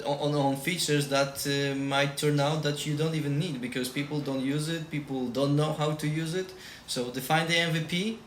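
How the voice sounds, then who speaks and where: A man from Poland with a Polish accent, a tech team lead giving casual interview answers and repeating key advice emphatically. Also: on the high side. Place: shared room. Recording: echoey room with faint noise.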